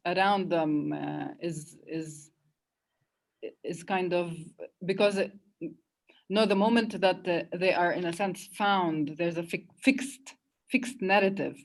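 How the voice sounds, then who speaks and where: Woman, lower register, casual panel discussion, halting, circles back to rephrase. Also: vocal fry. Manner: halting, self-interrupting, conversational.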